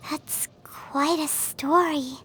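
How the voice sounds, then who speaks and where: A woman voicing a tiny, childlike female companion creature in a video game, speaking in a squeaky, naive way and referring to herself in the third person. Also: fairly even intonation, slow pace, sharp tone, soft background music, exaggerated voice. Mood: puzzled. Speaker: game character.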